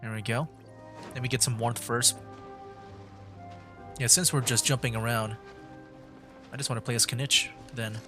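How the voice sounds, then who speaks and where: A man gives casual commentary on a game livestream. His pitch is average, and he talks his way through things in hesitant, halting bursts. Light music plays behind him. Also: fairly even intonation. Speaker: streamer hosting a video game livestream.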